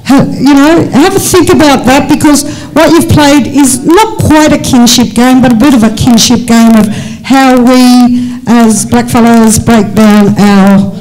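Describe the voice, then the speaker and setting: An Australian speaker hosting a live panel discussion in a relaxed, joking manner. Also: mid-range voice, poor audio. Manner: relaxed, joking, drawn-out phrasing. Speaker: panel host.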